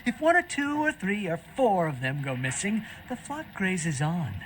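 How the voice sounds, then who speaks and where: A man playing a cynical, blood-addicted human servant of vampires in a video game, taunting and fawning with theatrical swings between loud and hushed. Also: sits high, sing-song, measured pace, slight background noise, heavily acted, poor audio.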